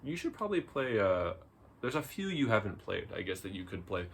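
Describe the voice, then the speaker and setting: A man's voice: lowish voice, very animated, a little fast, vocal fry, breathy edge. A man co-hosting a review show in a casual, rambling, conversational style, with some noise in the recording. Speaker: co-host of a visual novel review show.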